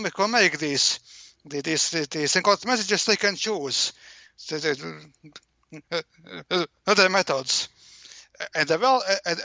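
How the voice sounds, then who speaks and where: A man explaining as an interview guest, halting and repeating words over and over as he searches for the next one. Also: high voice, pushed and bright, no room sound. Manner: halting, heavily stammered repetitions of words. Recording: dull, lo-fi call-in audio.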